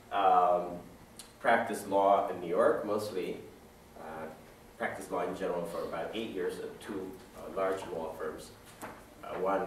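Deep-voiced man lecturing in an echoey room. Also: distant mic, background noise. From Ethiopia. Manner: conversational, many fillers and restarts. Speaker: guest lecturer. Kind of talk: classroom talk. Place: large dim lecture room. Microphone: far camera.

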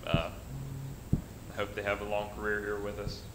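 A middle-aged man giving a plain, halting department report at a city council meeting, with many pauses. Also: fairly low pitch, level tone, noisy background. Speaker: council member. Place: council chamber at a dais. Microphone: desk mic. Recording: roomy council chamber.

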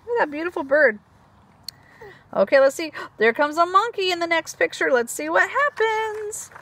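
A woman preschool teacher reading a picture book aloud to young children in an animated, expressive way, with chatty asides. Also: very high-pitched, moderate pace.

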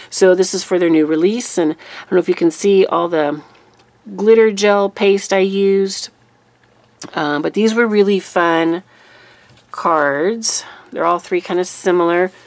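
A woman YouTuber recovering from a cold, her voice deeper than normal, chatting casually through a monthly craft recap at her desk. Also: dry recording. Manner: chatty, relaxed, with fillers. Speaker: papercraft YouTuber. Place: desk in a room, overhead camera on a cutting mat.

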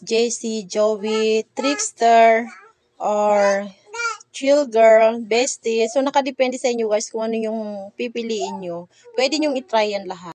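A woman's voice: a little high, a little mellow. A young adult woman with a Filipino accent, giving a casual step-by-step tutorial voice-over and addressing viewers informally.

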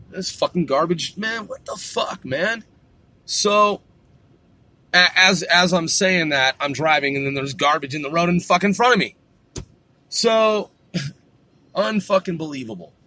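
A man's voice: on the high side, bright, forceful tone, slight background noise. A man hosting a podcast, ranting and swearing nonstop while he drives. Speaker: podcast host. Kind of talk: profane rant while driving. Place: in a car on the road.

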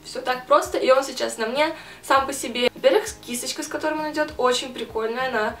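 A young woman in her twenties chatting through a casual haul vlog in her bedroom, animated and self-mocking. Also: high voice, fast, reverberant, distant mic, soft background music. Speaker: beauty vlogger. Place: bedroom with string lights, indoors.

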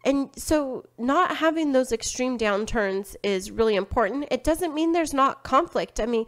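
A woman's voice: sits high, expressive, moderate pace. A young adult woman co-hosting a podcast in casual chat, saying "like" a lot. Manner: chatty, says "like" often. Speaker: podcast co-host. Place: home studio.

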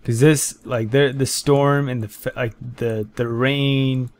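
A young man casually commentating his own game session, thinking aloud in halting bursts with lots of fillers and restarts. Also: medium pitch, steady tone. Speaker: gameplay commentator. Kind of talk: casual let's-play commentary.

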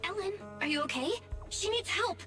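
Curious young game heroine: high pitch, very animated intonation, music underneath. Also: female voice, normal speed. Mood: concerned. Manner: animated, questioning.

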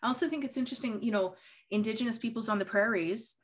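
A woman's voice: on the high side, quick pace. A young woman moderating a Q&A over a video call from a home office, reading questions aloud in a friendly way. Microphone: video call webcam.

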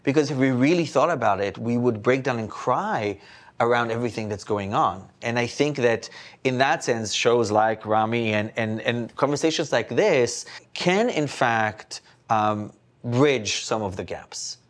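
A middle-aged man from Belgium talks through his ideas as a rabbi on a studio panel. He weighs each point and keeps asking "right?" to bring the others along. His voice sits at an ordinary man's pitch and is picked up close on a lapel mic. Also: unhurried. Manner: thoughtful, often adds "right?" to his points. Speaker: rabbi and spiritual counselor. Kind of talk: panel discussion. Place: indoor studio set with plants.

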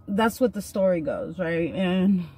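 A woman's voice: lowish voice, unhurried, darkish tone, breathy edge. A woman in her thirties telling a personal story in a chatty, earnest way while doing her makeup, recorded on a phone in a parked car. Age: 30s. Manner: chatty, filler-heavy, earnest. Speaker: vlogger. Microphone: phone camera at arm's length.

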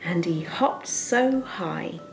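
A woman storyteller reads a children's bedtime story softly and expressively. She takes it very slowly, her voice a little low with a creaky edge. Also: expressive, faint music behind.

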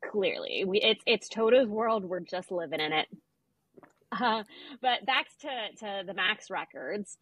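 A woman's voice: medium pitch, lively intonation, measured pace, a bit bright, husky, no echo, poor audio. A woman in her thirties co-hosting a podcast, talking through sports statistics in a casual, wry, conversational way. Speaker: podcast co-host. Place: indoor room, video call.

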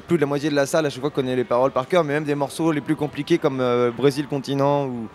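A man's voice: medium pitch, fairly even intonation, fast talker, a little mellow, slight background noise. A man in his thirties, a band's singer, answering interview questions hesitantly with many restarts, in a festival radio booth. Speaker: singer and lyricist of a band. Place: festival radio booth in front of a sponsor backdrop. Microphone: handheld radio mic held by the host.

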